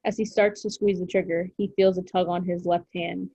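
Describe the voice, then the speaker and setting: A woman's voice: on the low side, flat delivery, moderate pace, mellow, breathy edge, no echo, muffled recording. A woman narrating the stage directions of a script at a table read.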